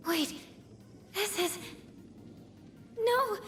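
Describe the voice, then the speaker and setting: A woman's voice: extremely high pitch, drawn-out words, a bit bright, exaggerated voice. An actress playing an earnest, anxious teenage girl navigator in a video game, speaking with urgency. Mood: urgent, alarmed. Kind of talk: English video game voice acting. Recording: music under the voice.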